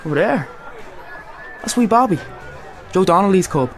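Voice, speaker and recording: A man's voice: sits high, lively intonation, normal speed, faint music behind, a faint crowd. A man with an Irish accent acting an earnest, plain-spoken Irish soldier in a radio play, in narration and dialogue. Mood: teasing banter. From Ireland. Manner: reflective first-person storytelling. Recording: faint noise and background ambience.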